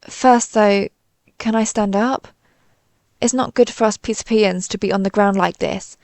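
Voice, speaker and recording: Woman acting a pompous, gravelly alien invader. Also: mid-range voice, steady tone, measured pace, darkish tone, no room sound, close to an everyday voice. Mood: pleading. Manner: haughty, theatrical, formal villain phrasing. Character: pompous female pizza-shaped alien invader, easily flustered. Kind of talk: scripted audio roleplay monologue.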